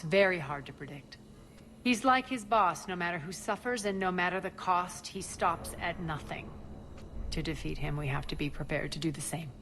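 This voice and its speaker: Low-voiced, haughty alien crime queen played by an actress. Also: a bit bright, a little hiss or hum, lightly acted. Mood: contemptuous, commanding. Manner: haughty, deliberate, sardonic. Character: ruthless, imperious alien female crime queen, cold and commanding. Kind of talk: video game voice acting.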